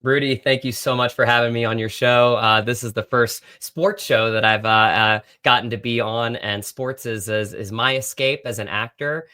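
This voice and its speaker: A man's voice: medium pitch, steady tone, moderate pace, hardly any pauses, sharp tone. A man, an actor, answering conversationally as a guest in an interview.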